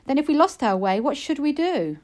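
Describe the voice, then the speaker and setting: A woman's voice: sits high, very animated, fairly quick, darkish tone, pitched above the performer's own voice. A woman voicing an anxious young maiden who urges her sister on with caution in a narrative poem. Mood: anxious pleading. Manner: urging, cautious.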